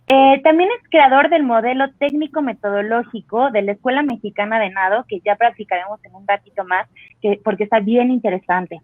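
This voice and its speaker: A woman hosting a swimming-themed interview show over a video call. She speaks a touch high, and the line sounds thin and phone-like, very dry. She works through a long introduction, stopping often on small fillers. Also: no echo. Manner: reads a guest résumé with many fillers. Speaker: podcast host.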